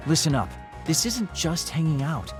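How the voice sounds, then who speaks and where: A cocky, fun-loving young man from a video game. His fairly high voice swings playfully in pitch as he teases, over soft music. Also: very animated, normal speed. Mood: lecturing. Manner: cocky, teasing, theatrical. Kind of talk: English video game voice acting.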